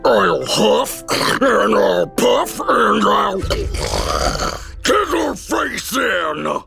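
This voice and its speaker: High, gravelly thug pig. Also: male voice, huge pitch swings, very slow, bright, forceful tone, shouting, faint music behind, heavily acted. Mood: menacing taunts. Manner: taunting street-tough talk. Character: bullying pig henchman, loud, blustering, thuggish. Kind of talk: live table read acting.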